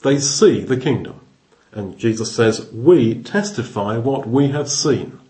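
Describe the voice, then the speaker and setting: A man's voice: mid-range voice, expressive, slow, mellow, poor audio. Man teaching scripture thoughtfully. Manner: thoughtful, restarts phrases, explains word by word. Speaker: Bible teacher. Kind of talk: expository Bible teaching.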